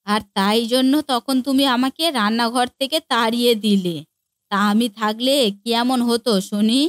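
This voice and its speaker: A woman voicing a suspicious, bossy older mother-in-law in a family comedy cartoon, nagging with rapid questions. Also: on the high side, steady tone, no echo, clean studio sound, lightly acted. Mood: scornful. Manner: nagging, quick-fire questioning.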